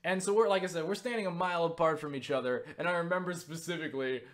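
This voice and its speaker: A young man casually recounting a story for viewers, excitable and full of swearing and filler words. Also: on the high side, fast. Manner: excitable, swears freely, lots of fillers and laughs. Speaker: YouTuber answering viewer questions.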